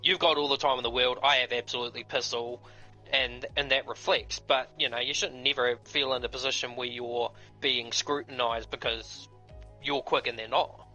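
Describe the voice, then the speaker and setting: A laid-back male co-commentator who talks bluntly and swears casually. His voice is bright and forceful, coming through a slightly dull call with faint music underneath. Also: mid-range voice, fairly even intonation, moderate pace.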